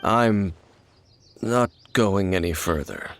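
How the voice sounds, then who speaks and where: A man playing an aloof, world-weary wandering warrior in an anime-style video game, speaking in a measured, formal, brooding way. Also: low voice, very noisy. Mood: cool, guarded. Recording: music behind the voice.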